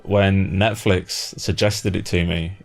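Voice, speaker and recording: A man's voice: sits low, fairly even intonation, takes it slowly, creaky, soft background music. A British man interviewing someone in an informal, chatty way, like a fellow fan. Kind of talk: casual chat.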